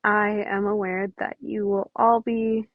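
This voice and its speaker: A woman's voice: mid-range voice, level tone, unhurried, no echo, narrow, tinny audio. A woman reading a story aloud and chatting casually to listeners as a podfic reader.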